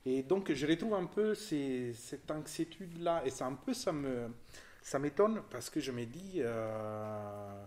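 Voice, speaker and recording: A man in his forties from southern Italy, with an Italian accent, talking casually about an art exhibition and often pausing to search for French words. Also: mid-range voice, animated, darkish tone. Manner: hesitant, searching for words, many restarts. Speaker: visitor discussing an exhibition. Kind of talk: casual conversation.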